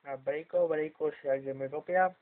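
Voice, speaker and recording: A man's voice: on the high side, steady tone, normal speed. Tinny-sounding man calling on radio. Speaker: amateur CB radio operator. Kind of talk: radio calling with callsign and phonetic letters. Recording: lo-fi phone-like sound, radio static, clicks.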